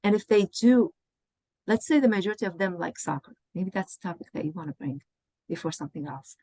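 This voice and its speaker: Low-pitched woman teaching, dry close sound. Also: expressive, a little fast, dark tone, no room sound, rough recording. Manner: conversational, often checks in with "okay" and "you know". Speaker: Arabic language professor. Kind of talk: informal online teacher-training lecture. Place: indoors, online workshop over video call. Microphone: video call microphone.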